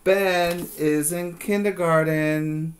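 Very slow-paced man teaching young children. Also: on the high side, fairly even intonation, slight background noise. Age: middle-aged. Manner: slow, deliberate reading with questioning asides. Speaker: preschool teacher. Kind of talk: children's story read-aloud with asides to pupils. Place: small plain room indoors.

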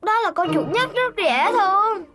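A performer voicing a young Dalmatian puppy boy in a children's cartoon, animated and childlike, cheerful but quick to sulk. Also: extremely high pitch. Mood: sulky. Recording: music behind the voice.

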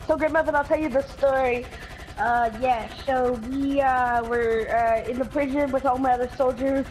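A high-voiced game player chatting casually in improvised roleplay, often repeating words and restarting sentences. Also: steady tone, normal speed, a little mellow, a little hiss or hum, low-quality recording. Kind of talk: casual improvised roleplay chat during gameplay.

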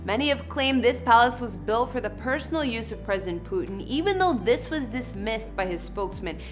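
A woman's voice: a little high, animated, a little fast, over music. A young woman hosting an online entertainment video, reading scripted narration with an emphatic presenter delivery. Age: young adult. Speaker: host of an entertainment house tour show.

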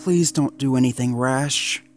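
A man voicing an anxious, gentle young male mixed-breed dog who pleads and whines. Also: sing-song, a little slow, faint music behind. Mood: pleading. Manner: pleading, whiny, higher raised voice.

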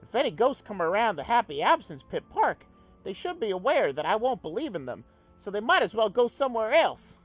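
Reader putting on a high loud zealous alien comrade guy, preachy and bouncy, lively pitch, dry sound. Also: fairly quick, soft background music. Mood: fervent. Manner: fervent speechifying, exclamatory. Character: zealous male alien revolutionary, bouncy, oily.

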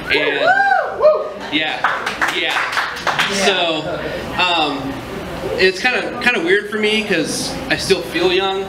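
High-voiced man performing slam poetry. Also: lively intonation, slow, pauses often. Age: 30s. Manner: chatty, joking intro, then rhythmic earnest recitation. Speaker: slam poet. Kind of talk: spoken word poetry performance. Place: small indoor venue stage with live audience. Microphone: stand mic, filmed from across the room. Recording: echoey room, audience noise.

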